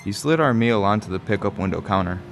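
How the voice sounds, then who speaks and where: A man plays a middle-aged father narrating a radio play in a low voice. His telling is wry and measured. Music plays clearly behind him. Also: lively intonation. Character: wry travelling father telling a strange roadside tale. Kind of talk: scripted first-person radio play narration.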